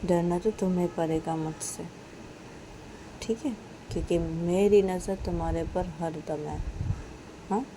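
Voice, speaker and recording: A woman's voice: fairly low pitch, steady tone, unhurried, long pauses, background noise. A woman voicing a possessive, insistent young woman. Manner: insistent, pressing with repeated questions.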